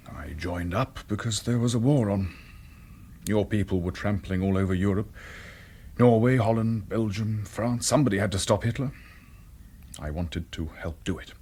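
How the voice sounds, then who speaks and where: An actor playing a blunt, stubborn, embittered former British wartime agent in a radio drama, speaking in a terse, accusing way. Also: male voice, fairly low pitch, moderate pace, dark tone, slightly rough. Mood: defiant. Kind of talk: naturalistic radio drama acting.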